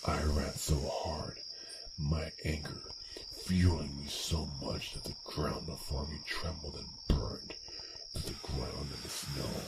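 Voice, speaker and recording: An extremely low-voiced, vengeful male assassin, as a man acts him out in a fantasy ASMR roleplay. He speaks slowly and intensely, with brooding pauses and phrases that sink toward a whisper. Loud cricket and insect ambience runs under the voice. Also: very noisy. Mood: bitter, anguished. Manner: brooding, intense, halting delivery with breathy near-whispered phrases. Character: vengeful male dragon assassin, fiery and hate-driven. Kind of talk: dramatic first-person roleplay monologue, ASMR.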